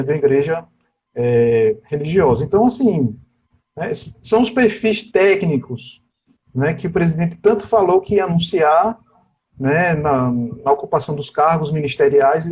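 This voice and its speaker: A man's voice: sits high, a little mellow, slightly rough, highs cut off. A man, a teacher giving an interview, talking at length about education policy in a discursive way and tagging his sentences with little questions. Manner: discursive, with constant tag questions. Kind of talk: political interview over a live stream.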